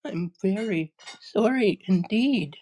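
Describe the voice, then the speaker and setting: A woman's voice: extremely high pitch, very animated, slow, dark tone, voice pushed up, exaggerated voice, poor audio. A woman voicing a plucky, indignant little girl in a storybook, earnest and childlike. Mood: sorry.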